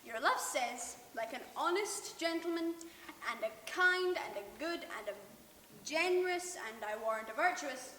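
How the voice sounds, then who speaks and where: A woman's voice: sits high, animated, a little slow, sharp tone, heavily acted. A woman playing a weary, teasing old nurse servant in Shakespearean stage style, rambling and full of groaning exclamations. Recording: echoey hall, distant mic, faint noise.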